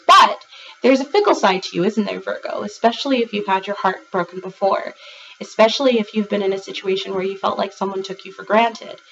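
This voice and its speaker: A woman's voice: medium pitch, a bit bright, far from the mic. Stuffy-nosed woman rambling through a tarot reading, a bit off-mic, dull lo-fi sound, faint hiss. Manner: rambling and chatty with many fillers and restarts. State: congested, a little raspy from a cold. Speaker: tarot reader. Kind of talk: casual monthly tarot reading to camera.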